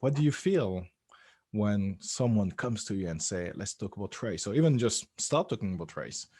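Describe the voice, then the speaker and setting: A man hosting an interview in an upbeat way, with a sing-song greeting and some halting restarts. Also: on the low side, expressive, darkish tone, husky, no echo, clean studio sound. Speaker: interview host. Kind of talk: online interview.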